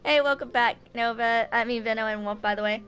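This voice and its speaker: High-pitched young woman chatting casually. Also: fairly even intonation, fairly quick, a bit bright. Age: 20s. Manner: rambling, informal. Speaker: livestreamer. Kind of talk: casual chat to stream viewers.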